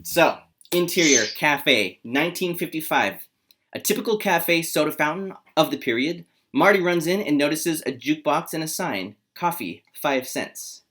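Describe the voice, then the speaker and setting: A man's voice: fairly high pitch, expressive, moderate pace, a bit bright. A man reads scene descriptions and stage directions from a script as narrator. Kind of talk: scripted narration, screenplay read aloud.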